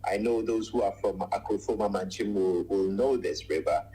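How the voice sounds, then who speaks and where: A man in his forties from Ghana, a radio guest telling his life story in a measured way, with many pauses and long lists. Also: fairly low pitch, warm tone, breathy edge, faint music behind, low-quality recording. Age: 40s. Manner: measured, frequent "uh" pauses, lists things at length.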